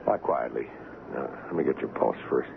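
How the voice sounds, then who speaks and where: Deep-voiced, calm ship's doctor. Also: male voice, vocal fry, heavily acted. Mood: calm, reassuring. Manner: measured, courteous. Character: calm, bookish, considerate male ship's doctor, narrating. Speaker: actor. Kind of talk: 1970s radio drama acting and narration. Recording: noisy, tinny old radio broadcast.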